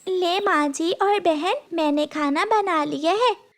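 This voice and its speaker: A woman's voice: extremely high pitch, takes it slowly, pitched above the performer's own voice, heavily acted. A woman voicing a meek, frightened little girl bird in a cartoon story, pleading in a childlike way.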